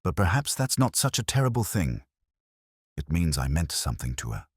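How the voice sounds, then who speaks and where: A man's voice: low-pitched, sing-song, moderate pace, husky, no room sound, studio quality, full character voice. A man voicing a composed, wistful storybook woman in a polite, reflective, understated way. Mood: quietly reflective. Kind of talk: storybook character dialogue.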